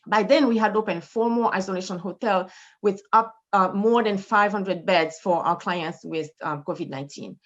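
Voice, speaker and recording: A woman with a French Haitian accent delivers a formal presentation as a medical director, measured and steady, walking through figures with the odd filler. Her voice sits at a middle pitch. The video call audio is a little dull. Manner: measured, formal, reading data with occasional fillers. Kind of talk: conference presentation. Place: in front of a brick wall indoors.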